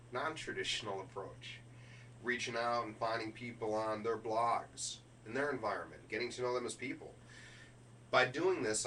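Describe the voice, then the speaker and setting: A man delivering a motivational business keynote speech in an emphatic, deliberate way, punching key words as a speaker. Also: medium pitch, a bit bright. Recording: distant microphone with faint noise and hum.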